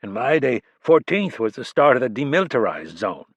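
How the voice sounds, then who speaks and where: Man voicing a probing, sharp criminalist. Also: medium pitch, big pitch swings, a little fast, husky, dry recording, lo-fi sound. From Illinois. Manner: probing, deductive questions. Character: sharp, curious middle-aged male criminalist. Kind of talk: audiobook dialogue.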